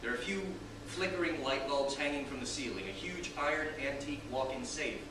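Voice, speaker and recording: A man's voice: medium pitch, a little fast. A man reading scripted scene directions as narrator, recorded at a distance in a very echoey, noisy room.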